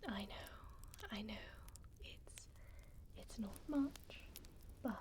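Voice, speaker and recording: A woman playing a gentle, refined vampire duchess in an ASMR roleplay, speaking in a soothing, elegant, breathy whisper close to a binaural microphone. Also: a little high, a little hiss or hum. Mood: tender. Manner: soothing, slow, elegant, tender.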